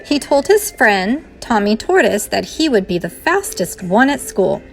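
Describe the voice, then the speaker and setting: A woman reads a children's story aloud like a teacher. Her voice is fairly high and very varied in melody, with faint music behind it. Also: sing-song, a little slow, vocal fry.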